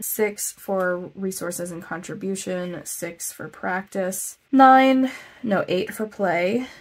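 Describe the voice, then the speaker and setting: A woman vlogging as she reviews her planner, chatty and self-deprecating, thinking aloud, her voice slightly hoarse after being ill. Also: medium pitch, a bit bright. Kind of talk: casual chat, plan with me.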